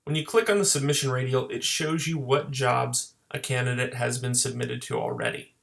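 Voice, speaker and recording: A man with a noticeably creaky, fry-edged voice narrates a screen tutorial. He speaks as a software trainer at a medium pitch. His explanations are plain and move step by step. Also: big pitch swings, moderate pace. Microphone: voice-over for screen recording.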